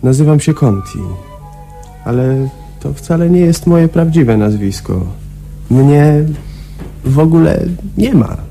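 An actor playing a delusional, paranoid patient who confides in a chummy, conspiratorial way in a radio play. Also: male voice, a little high, takes it slowly, pauses often, mellow, soft background music. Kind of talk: Polish radio play acting.

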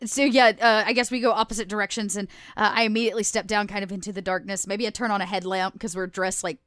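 A woman's voice: medium pitch, steady tone, fast, a bit bright, dry recording. A woman playing a tabletop game in casual roleplay, with a hesitant, stop-start delivery.

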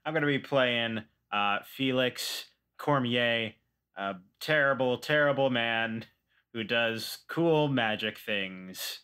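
Male podcast player, unhurried pace, forceful bright tone, animated casual banter. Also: mid-range voice, clean tone. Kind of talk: casual joking group chat.

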